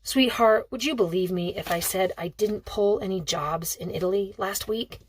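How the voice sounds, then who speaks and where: A woman's voice: medium pitch, moderate pace, a little hiss or hum, lightly acted. A woman voicing an affectionate, roguish middle-aged father in an audiobook, with a casual, teasing, evasive manner. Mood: sly. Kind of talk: audiobook character dialogue.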